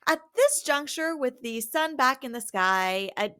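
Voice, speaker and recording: A woman with a fairly high and very bright voice co-hosts a podcast. She talks through a recap casually and conversationally, with a wry, informal manner. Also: lively intonation, unhurried. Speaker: podcast co-host.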